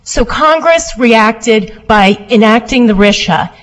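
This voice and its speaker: A woman lawyer giving a formal oral argument before appeals judges, loud and steady as she answers questions. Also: a little high, slow pace. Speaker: appellate lawyer.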